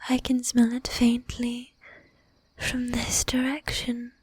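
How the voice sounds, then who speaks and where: ASMR roleplay: actress as possessive, courtly female vampire, high whispery voice, unhurried, very even melody. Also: dry recording, full character voice. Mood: courtly, coy. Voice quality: breathy, whispery. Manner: formal, archaic diction, slow and deliberate. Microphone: close microphone, dry.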